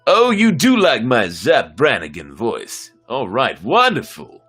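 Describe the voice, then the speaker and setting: A man's voice: medium pitch, huge pitch swings, a little slow, a bit bright, husky. A man hosting a story-time livestream, chatting casually between dramatic readings. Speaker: host and story reader. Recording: music behind the voice.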